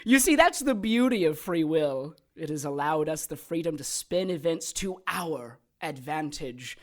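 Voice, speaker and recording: Slow-talking, taunting immortal villainess. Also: female voice, low-pitched, expressive. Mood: smug, philosophical. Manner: taunting, theatrical, deliberate. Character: gleeful immortal female villain who controls corpses, sadistic and cynical. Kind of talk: audio drama acting.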